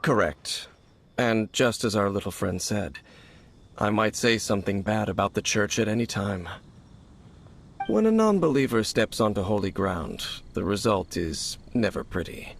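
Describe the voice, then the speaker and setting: A man playing an aloof, world-weary wanderer in a video game, speaking in a cool, measured, terse way. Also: on the low side, expressive, gravelly, a little hiss or hum. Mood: cool, dismissive. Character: aloof, brooding, world-weary male wanderer who shuns the gods. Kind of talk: video game character acting.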